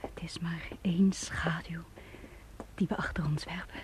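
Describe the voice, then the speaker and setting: Actress as wary young woman: fearful, hesitant, soft dark timbre, radio drama. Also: lowish voice, fairly even intonation, measured pace, whispered, lightly acted. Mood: uneasy. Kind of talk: Dutch radio play acting.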